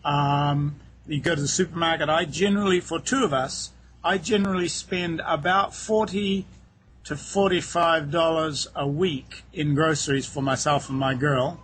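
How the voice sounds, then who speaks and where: A man's voice: fairly high pitch, fairly even intonation, moderate pace, fairly bright tone, a little hiss or hum. Chatty New Zealand man on a dock. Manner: chatty, laughs easily, repeats words as he starts. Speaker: guest, real estate agent. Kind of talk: phone interview, relaxed explaining. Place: outdoors on a waterfront dock. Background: boats passing.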